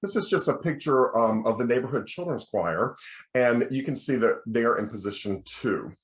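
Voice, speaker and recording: Male American lecturer, children's choir teacher, mid-pitched speaking voice, encouraging instructional delivery, audibly echoey recording. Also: expressive, normal speed. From United States. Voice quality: bass singing voice. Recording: roomy, echoing sound.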